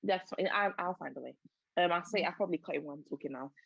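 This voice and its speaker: A woman's voice: fairly low pitch, fast, gravelly, slightly rough, no room sound. A young woman hosting a casual podcast chat, earnest and reflective as she shares her views. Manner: earnest, reflective, speaks of faith. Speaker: podcast host. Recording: dull, dry lo-fi podcast audio.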